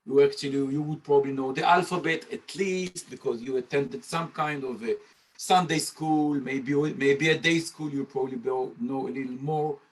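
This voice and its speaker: A man who teaches Hebrew, giving an informal, joking talk to high school students on a video call. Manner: joking, conversational, talks straight to students. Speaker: Hebrew language instructor.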